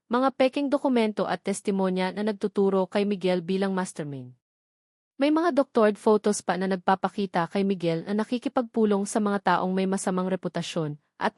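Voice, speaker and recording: A woman's voice: mid-range voice, a little mellow, no room sound, studio quality. A woman reading scripted dramatic story narration as the storyteller.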